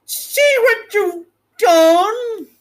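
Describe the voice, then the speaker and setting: A man voicing a wicked, cunning old witch from a storybook, with a shrill, wailing delivery. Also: lively intonation, extremely slow, exaggerated voice. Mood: wailing, despairing.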